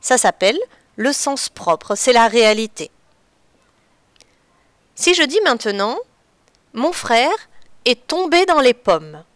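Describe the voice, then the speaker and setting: A woman teacher patiently explaining to children in a clear, deliberate way. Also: a little high, very animated, dry recording. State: slightly stuffy from a cold. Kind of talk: children's lesson on figurative expressions.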